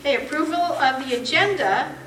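A woman chairs a town board meeting, reading procedural rules in a brisk, plain way. Her voice sits high. She is caught from a distance in a roomy, echoing hall with clear background noise. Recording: echoey meeting room from far off, noisy.

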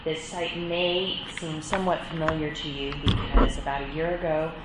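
A woman presenting a development application to a board, brisk and practiced. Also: sits low, flat delivery. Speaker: applicant's representative. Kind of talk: public hearing presentation. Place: public meeting chamber. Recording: very echoey chamber with loud background noise.

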